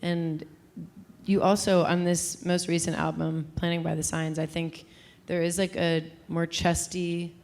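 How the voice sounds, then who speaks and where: A woman host with a lowish, fairly flat-toned speaking voice. She asks thoughtful, admiring questions and keeps the talk moving. The conversation was recorded at a live music venue. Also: a little slow. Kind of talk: interview host chat.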